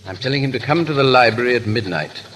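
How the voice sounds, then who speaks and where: A man's voice: mid-range voice, lively intonation, quick pace, noisy background, natural voice. An actor in a 1930s radio drama playing an English nobleman who poses as an idle, airy fool, with drawling, stammering repeats. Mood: brisk, grave. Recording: old 1930s radio recording, faint music.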